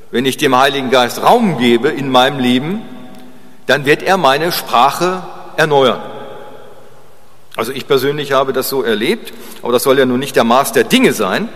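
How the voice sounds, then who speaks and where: A man gives an informal sermon in a large, very reverberant church space. His voice sits at a medium pitch. He speaks haltingly and conversationally, repeating phrases and checking in with short tag questions. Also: a bit bright. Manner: chatty, seeks agreement with short tag questions. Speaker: preacher. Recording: long reverb of a large hall.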